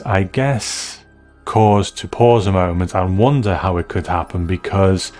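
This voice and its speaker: A man giving conversational review commentary, reflective and measured, as narrator. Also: on the low side, darkish tone.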